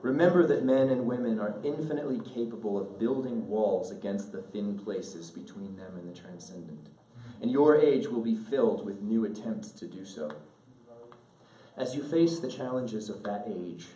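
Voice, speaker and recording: A man reads a literary letter aloud in a measured, earnest, oratorical way, pausing deliberately, recorded at a distance in an echoing room. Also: lowish voice, mellow. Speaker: speaker reading a letter aloud at an event. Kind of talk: solemn literary letter read aloud to an audience. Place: indoor event room.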